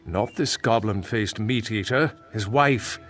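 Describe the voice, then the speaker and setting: A man's voice: on the low side, unhurried, a bit bright, soft background music. An actor playing a haughty, callous male elf soldier in a video game, sneering and contemptuous. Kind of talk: video game character dialogue.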